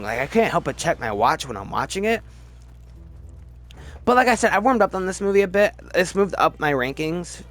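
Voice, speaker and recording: A man hosting a casual, off-the-cuff ranking video, rambling through his opinions and restarting sentences as he goes. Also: fairly high pitch. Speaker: video host reviewing films.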